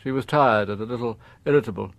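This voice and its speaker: A man playing a dignified, middle-aged upper-class father in a radio drama, confessing in formal, halting, precise phrases. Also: mid-range voice, gravelly, no echo, natural voice. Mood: rueful, halting.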